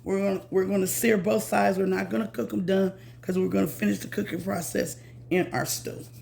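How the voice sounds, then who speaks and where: A slightly hoarse woman chats through a home cooking vlog, repeating herself and calling her viewers "guys". Her voice has a gravelly, creaky edge. Faint noise and pan sizzle sit behind her in the kitchen. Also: medium pitch, little pitch movement, brisk, darkish tone. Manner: chatty, says "guys" constantly, repeats herself. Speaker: cooking channel host. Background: food sizzling in a pan.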